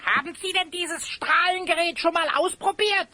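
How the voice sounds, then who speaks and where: A woman's voice: extremely high pitch, normal speed, pushed and bright, no echo. A woman playing a curious, cheeky young female adventurer in an audio drama, direct and questioning. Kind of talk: German audio drama acting.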